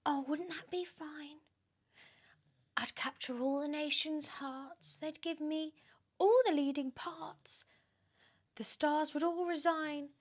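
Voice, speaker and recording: A woman's voice: high-pitched, steady tone, takes it slowly, pitched above the performer's own voice. A woman voicing a vain, fat old aunt from a children's storybook, declaiming in a theatrical, self-adoring way. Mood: dreamy, boastful.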